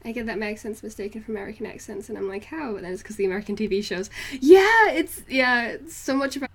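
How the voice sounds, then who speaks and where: Casting: young female streamer, fairly high voice, Geordie-Kiwi hybrid accent, informal and giggly, rambling chat. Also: lively intonation, fast, slightly rough. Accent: hybrid northeast English and New Zealand. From northeast England, moved to New Zealand as a child. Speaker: art livestreamer. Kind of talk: casual livestream chat with viewers.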